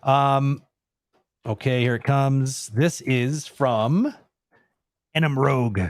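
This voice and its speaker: Middle-aged man, animated live host. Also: mid-range voice, slow, no room sound, studio quality. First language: English. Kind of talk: casual live Q&A chat.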